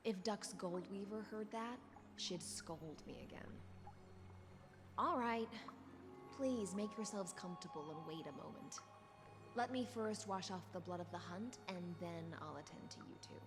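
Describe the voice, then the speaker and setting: A woman's voice: fairly low pitch, a little slow, music in the background. A languid, menacing sea noblewoman. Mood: nonchalant. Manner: teasing and coolly philosophical. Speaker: game character. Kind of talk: video game voice acting.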